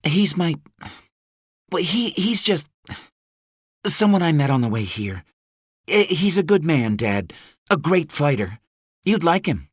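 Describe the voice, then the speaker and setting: A woman's voice: low voice, big pitch swings, takes it slowly, long pauses, breathy edge, dry recording, studio quality, voice pushed up, exaggerated voice. A male narrator voicing a fierce young woman who leads mercenaries under siege, blunt and swearing freely. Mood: defiant, weary. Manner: sharp-tongued, swearing, blunt.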